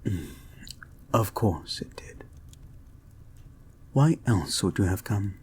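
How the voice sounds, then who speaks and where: A man playing a creepy, soothing male therapist in an intimate audio roleplay, breathy and soft-spoken, with formal, deliberate phrasing that often drops toward a whisper. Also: lowish voice, expressive, a little hiss or hum. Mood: knowing. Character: creepy, soothing male experimental therapist, calmly controlling and intent. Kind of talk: slow intimate ASMR-like audio roleplay, guided hypnosis.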